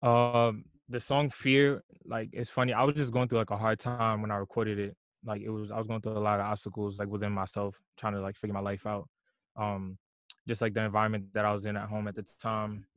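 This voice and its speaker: A young man from New York talks about his music in a relaxed, unhurried way, leaning on fillers like "like" and "you know". His voice sits low and stays fairly flat. The sound is dry and close, with no room echo. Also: clear, resonant tone. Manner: laid-back, many fillers like "like" and "you know". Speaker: musician guest. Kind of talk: casual interview conversation.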